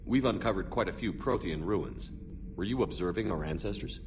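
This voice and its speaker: An actor playing a starship commander in a video game cutscene, asking blunt, dry questions. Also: male voice, deep voice, animated, brisk, distant mic, music in the background.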